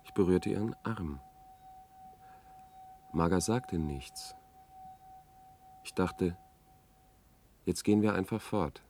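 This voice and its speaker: A subdued man giving an inner monologue in a 1970s radio play. His voice is low and very slow, sombre and reflective. A faint hum sits under the old recording. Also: darkish tone. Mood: resigned, pensive.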